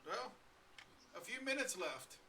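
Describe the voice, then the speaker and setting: A man's voice: sits high, sing-song, measured pace, creaky, bright, forceful tone, far from the mic, slight background noise. A man in his forties hosting a casual live-stream chat, rambling with lots of fillers and chuckles. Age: 40s. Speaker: podcast host.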